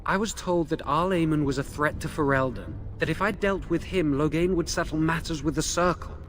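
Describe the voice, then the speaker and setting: A man's voice: fairly high pitch, fairly quick, fairly bright tone, slight background noise, close to an everyday voice. An actor playing a nervous, remorseful young male mage held in a dungeon, in a video game. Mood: confessing. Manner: anxious, remorseful, pleading.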